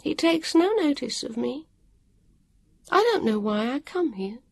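A woman's voice: high voice, very animated, a little slow, vocal fry, no echo, clean studio sound, voice pushed up, full character voice. A woman voicing a harassed, irritable grown woman who guides travellers, in a brusque, impatient manner. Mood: weary. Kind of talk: audiobook character voice.

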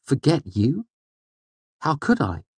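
A man's voice: fairly high pitch, wildly swooping pitch, slow, darkish tone, husky, dry recording, pitched above the performer's own voice, heavily acted. A man voicing a bashful, smitten young student in audiobook dialogue, earnest but trying to sound casual.

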